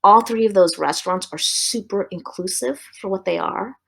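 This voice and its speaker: A woman's voice: mid-range voice, moderate pace, vocal fry, husky. A woman chef from Los Angeles, talking casually in an interview, thoughtful with many fillers and restarts. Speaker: chef and restaurant owner, guest.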